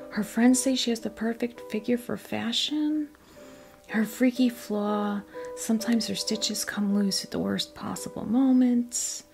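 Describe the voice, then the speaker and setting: An American woman speaking in a soft, breathy near-whisper, chatting casually and hesitantly in an ASMR style close to a sensitive microphone. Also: medium pitch. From United States. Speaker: ASMR video host. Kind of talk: ASMR, casual unboxing chat.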